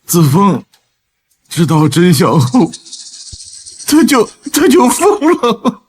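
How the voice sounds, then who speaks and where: Old village chief, guilty n scared, high pleading voice, real slow and halting. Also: male voice, lively intonation, slight background noise. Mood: guilty, broken confession. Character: guilty, cowardly village chief, begging and confessing. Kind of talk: dramatic audio drama acting.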